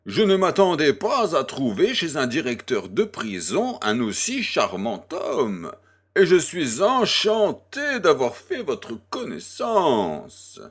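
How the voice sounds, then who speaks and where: A man's voice: a little high, wildly swooping pitch, slow, slightly rough, heavily acted. A man playing a jovial, worldly French baron in an audio drama, with pompous, effusive courtesy.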